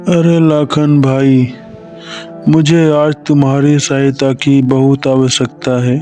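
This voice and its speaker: A man's voice: medium pitch, little pitch movement, slow, warm tone, natural voice. A man voicing a poor village farmer and husband in a moral story cartoon. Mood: worried, pleading. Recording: music under the voice.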